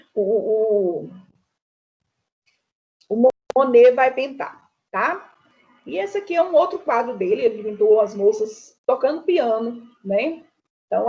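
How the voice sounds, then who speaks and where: A Brazilian woman with a fairly high voice teaches an art class online, talking through paintings in a chatty, easygoing way. She keeps checking in with her students as she goes. The audio is dull and lo-fi, like a video call. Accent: Brazilian Portuguese.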